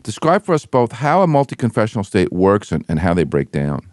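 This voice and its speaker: A man hosting a radio show, giving a scripted introduction and asking measured, prepared questions. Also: lowish voice, very animated, no echo, studio quality.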